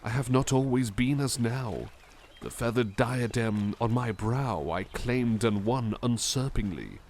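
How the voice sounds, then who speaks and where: A man playing a genteel, attentive butler in an ASMR roleplay, speaking gently and reflectively with a love of poetry. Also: mid-range voice, unhurried, a little hiss or hum, close to an everyday voice. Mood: solemn, dramatic recital. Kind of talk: soft-spoken ASMR roleplay with poetry readings.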